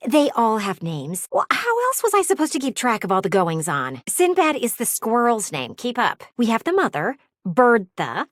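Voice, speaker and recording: A vain, nosy talking window, a female video game character. Her voice sits fairly high and swings widely in melody, full of theatrical fretting and teasing. The sound is close and dry, with no room around it. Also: no echo.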